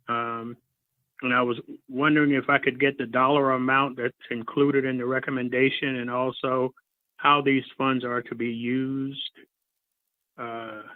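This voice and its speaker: A man's voice: medium pitch, dry recording. A man calling in to a public hearing, asking businesslike questions in a polite, hesitant way. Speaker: caller representing a nonprofit agency. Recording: thin, dry phone line.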